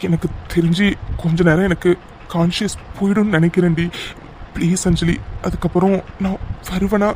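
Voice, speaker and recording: A man playing an injured, lovesick young man in an audio drama, speaking haltingly and earnestly to his beloved. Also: high voice, little pitch movement, darkish tone, a little hiss or hum, close to an everyday voice. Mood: weak, earnest. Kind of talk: melodramatic Tamil audio drama acting.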